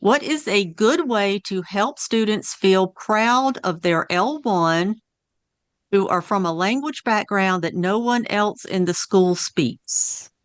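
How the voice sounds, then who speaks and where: A woman reading out audience questions in a brisk, matter-of-fact way during an online panel over a video call. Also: medium pitch, no echo.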